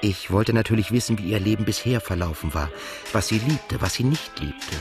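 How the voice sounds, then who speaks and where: An actor playing a reflective, melancholy male writer who narrates his own story in a radio drama in measured, literary phrases. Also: fairly low pitch, expressive, music in the background, close to an everyday voice. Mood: introspective, melancholy.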